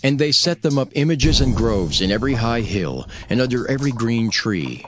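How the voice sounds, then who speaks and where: A man's voice: medium pitch, animated, moderate pace, over music. A man narrating dramatized scripture in a solemn, declamatory storytelling manner.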